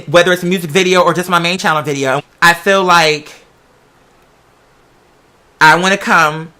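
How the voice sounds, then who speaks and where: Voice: medium pitch, bright, forceful tone. An American video creator chatting casually and rambling, with a sassy, campy, theatrical way of talking full of drag slang. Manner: rambling, digressive. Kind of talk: casual chatty vlog monologue.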